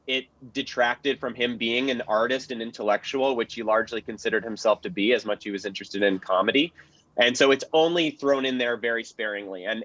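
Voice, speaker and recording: Man, analytical panelist, informal history discussion, medium pitch, dull dry recording. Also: a bit bright, dry recording. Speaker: panelist, radio history commentator.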